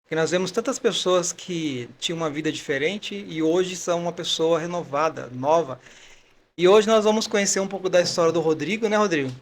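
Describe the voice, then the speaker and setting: A man hosts a faith podcast with a chatty, welcoming manner. His voice sits a bit high for a man. He rambles at times and stops to hunt for a word. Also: a little fast. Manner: warm welcoming, chatty, searches for words. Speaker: podcast host. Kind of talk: podcast interview.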